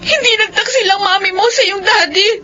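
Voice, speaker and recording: Very high, bitter young Filipino daughter. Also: female voice, brisk, pushed and bright. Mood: anguished, angry. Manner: emotional, melodramatic delivery. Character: bitter young woman doctor, resentful daughter of an unfaithful mother. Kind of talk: Filipino radio drama acting. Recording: dull lo-fi radio sound with music.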